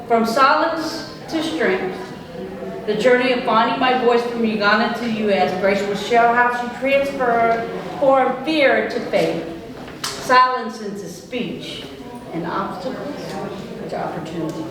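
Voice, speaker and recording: A woman host giving a formal introduction in a slow, deliberate announcing manner. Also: fairly high pitch. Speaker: event host. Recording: echoey hall from far off, crowd.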